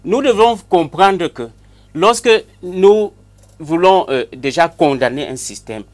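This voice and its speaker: A man's voice: on the high side, expressive, unhurried. A man, a TV panel guest correcting figures and stumbling over repeated words. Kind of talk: televised panel debate.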